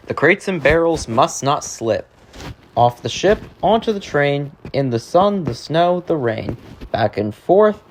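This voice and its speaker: A man's voice: on the high side, a little hiss or hum. A man narrating children's rhymes as a read-aloud, reciting the verse in a sing-song way. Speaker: narrator, reader.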